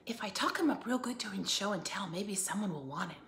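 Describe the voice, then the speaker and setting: A woman acts out a young storybook boy, a reluctant pet owner growing fond of his goldfish. The delivery is lively and varied, in an echoey room with faint noise. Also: medium pitch, fairly quick. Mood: earnest. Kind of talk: storybook read-aloud. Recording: roomy echo, faint background noise.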